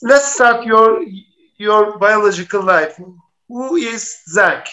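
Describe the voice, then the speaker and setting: A man who is a native Turkish speaker, co-hosting and interpreting on a live interview programme in a halting, pausing way. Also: high-pitched, animated, bright, forceful tone. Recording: dull, muffled lo-fi sound.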